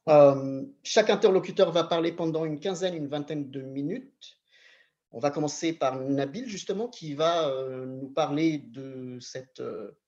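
A man moderating a public panel, introducing the speakers in a measured way with frequent hesitations. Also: fairly high pitch, gravelly, dark tone, highs cut off.

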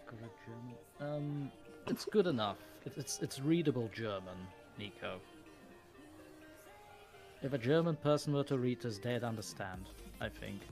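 A man's voice: medium pitch, expressive, moderate pace, darkish tone. Flemish guy, dutch is his first language, chatting on a stream, kinda wry, music in the back. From Flanders, Belgium. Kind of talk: casual livestream chat.

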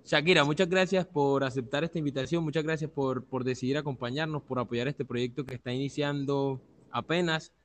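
A man's voice: mid-range voice, brisk, hardly any pauses, slight background noise. A man with a mid-range voice hosts an interview in a formal, courteous way. He is wordy and often circles back over his own phrases. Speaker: interview host.

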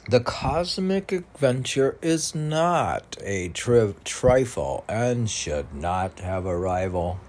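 Male poet for meditative verse reading; very unhurried, soft-edged dark tone, halting phrasing with emphatic lifts. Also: mid-range voice, sing-song. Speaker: poet reading own work. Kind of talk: recited spiritual poetry reading.

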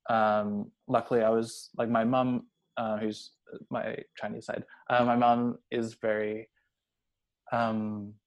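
A young adult man talking casually and personally, hesitant and rambling, leaning heavily on filler words. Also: on the low side. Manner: hesitant, rambling, heavy use of "like".

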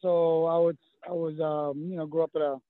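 South Texas man, halting, tinny line. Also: a little high, flat delivery, no echo. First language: Spanish. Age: 50s. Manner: halting, many restarts and fillers. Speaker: guest, running coach. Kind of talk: casual interview.

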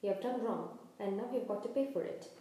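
A woman voicing a gruff, fierce but kindly giant male gamekeeper in a storybook, growling blunt commands in rustic dialect. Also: medium pitch, normal speed, mellow, lightly acted. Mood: stern, fierce. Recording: echoey room, distant from mic.

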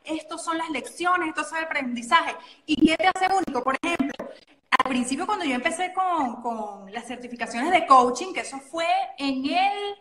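A Venezuelan woman, a guest coach and model, talking in a chatty, animated way and often correcting herself mid-sentence. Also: sits high, normal speed, reverberant, low-quality recording. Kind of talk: casual interview chat.

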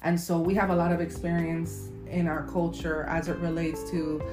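A woman's voice: on the low side, level tone, moderate pace. A young woman from Miami hosting a casual podcast, upbeat and gushing, drawing out her emphasis. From Miami, USA. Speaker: podcast host. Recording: echoey room with guitar music.

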